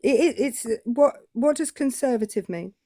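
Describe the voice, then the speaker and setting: A woman's voice: high voice, animated, normal speed, dark tone, no room sound, studio quality. A British woman arguing politics in a live debate, cutting and sarcastic, often cutting in. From Britain.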